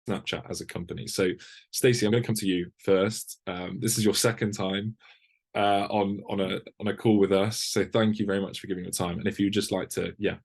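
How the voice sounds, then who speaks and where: A man's voice: low voice, creaky, breathy edge. A man moderating an online panel, politely handing over to guests in slightly hesitant phrases. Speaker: host, moderator. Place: online video call.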